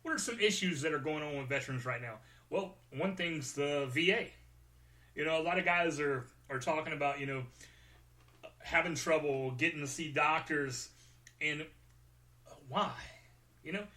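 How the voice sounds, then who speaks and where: A man's voice: on the high side, normal speed, pauses often, sharp tone. A man hosting a podcast alone, rambling casually and informally with joking asides. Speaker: podcast host.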